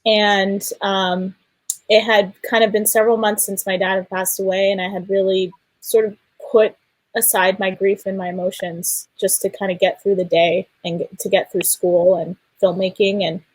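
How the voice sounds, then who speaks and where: A woman's voice: mid-range voice, level tone, normal speed, dry recording, poor audio. A woman telling a personal story as a filmmaker guest in an interview, in a hesitant manner. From Iran or of Iranian family. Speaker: filmmaker guest on a festival panel.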